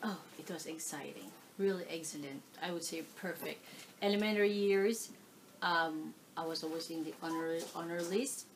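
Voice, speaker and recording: Filipino woman, middle-aged, lowish voice, faint room hiss, chatty interview. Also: normal speed. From Philippines. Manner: animated, gestures while talking, frequent fillers. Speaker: oral history interviewee. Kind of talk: casual oral history interview. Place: living room, seated on a leather sofa.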